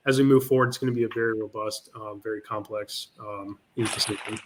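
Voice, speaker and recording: A man's voice: fairly low pitch, fairly even intonation, fast talker, slight background noise, rough recording. A man speaking for his project in a casual interview over a video call, confident and promotional, with frequent fillers. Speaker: project team guest.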